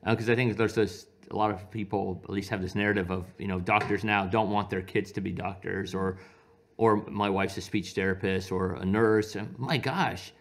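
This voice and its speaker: Guy in his 40s, lowish voice, doctor getting interviewed, chatty, keeps restarting sentences. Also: fairly even intonation, moderate pace. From Iran. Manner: hesitant, self-correcting, repeats opening words. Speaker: physician being interviewed. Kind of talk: conversational interview, personal storytelling.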